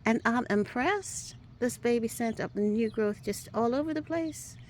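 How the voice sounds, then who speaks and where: A woman giving a casual walking tour of her garden outdoors, chatty and upbeat, a little hoarse, often asking "right?" as she films on a handheld phone. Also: on the high side, a little slow, darkish tone, slight background noise. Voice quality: a little hoarse, clears throat. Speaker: garden vlogger.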